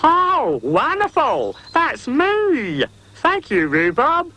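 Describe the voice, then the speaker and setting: A man voicing a playful, teasing male cat in a storybook reading, with a slow theatrical drawl. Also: very high voice, wildly swooping pitch, pushed and bright, voice pushed up, heavily acted. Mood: delighted. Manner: slow theatrical drawl, mock Scottish lilt. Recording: music playing behind.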